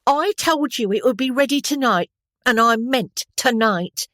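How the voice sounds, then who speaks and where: A narrator voicing a bold young army washerwoman who scolds an officer, high and loud, with a timbre unlike the narration. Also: animated, a little slow, dry recording, studio quality. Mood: scolding. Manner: bossy, scolding.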